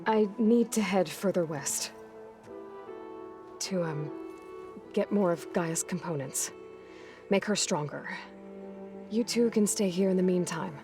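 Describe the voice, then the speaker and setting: A woman playing an earnest, burdened young hunter in a video game, with a low-key, determined delivery. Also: slow, long pauses, music in the background. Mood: guarded, determined. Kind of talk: naturalistic video game cutscene acting.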